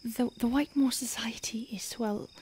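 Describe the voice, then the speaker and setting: Woman acting out ancient female vampire, higher-pitched, hushed ASMR whisper, halting, urgent and secretive, faint night insect noise. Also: steady tone, lightly acted. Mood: grave, confiding. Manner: hushed, halting, breathy whispering with deliberate pauses.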